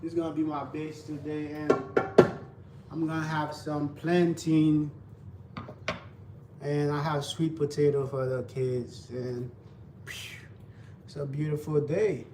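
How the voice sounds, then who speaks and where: A man's voice: a little high, flat delivery, takes it slowly, long pauses, warm tone. A young man with a Haitian Creole accent, chatting loosely with viewers while he cooks, repeating himself often. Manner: loose, repetitive, talks to viewers while cooking. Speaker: cooking vlogger. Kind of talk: casual live cooking chat. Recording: distant mic with faint background noise.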